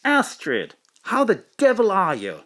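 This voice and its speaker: A man's voice: high-pitched, wildly swooping pitch, unhurried, noisy background, full character voice. A man playing a genial, suave intelligence chief in an audio drama, jovial and politely old-fashioned.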